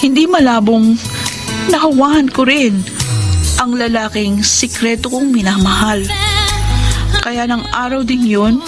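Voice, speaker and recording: A woman's voice: on the high side, unhurried, pauses often, natural voice. An actress with a Filipino accent playing an anguished, guilt-ridden woman in an emotional, pleading radio drama style. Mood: remorseful narration. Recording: radio drama with loud music bed.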